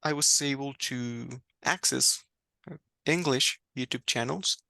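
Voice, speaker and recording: Gravelly-voiced man. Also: medium pitch, unhurried, bright, forceful tone, dry recording. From Mexico. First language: Spanish. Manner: reflective, candid, laughs often, restarts words. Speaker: guest. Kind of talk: personal faith story in conversation.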